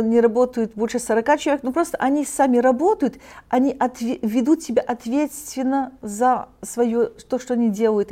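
A middle-aged woman from Switzerland, a native French speaker, talks in a soft, mellow voice. She speaks haltingly, with frequent restarts and filler sounds as she searches for words. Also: a little high. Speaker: choir leader, guest. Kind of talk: interview speech.

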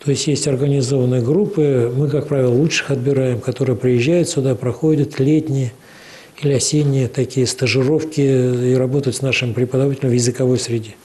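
A man with a soft, dark-toned voice answers interview questions as an official. He explains things in a steady, measured way, often pausing on drawn-out hesitations. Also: medium pitch. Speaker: interview guest, cultural organization official.

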